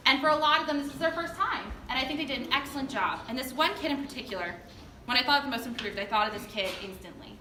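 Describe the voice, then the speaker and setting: Woman, high voice, enthusiastic drama teacher, colloquial award speech, distant noisy recording. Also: fast talker. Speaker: drama club teacher presenting student awards. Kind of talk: informal award speech to parents. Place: indoor performance space before an audience. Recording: echoey room, distant noisy mic.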